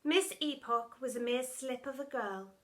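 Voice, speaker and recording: A woman's voice: high-pitched, measured pace, a bit bright. A woman teacher reading a children's storybook aloud with theatrical, emphatic expression.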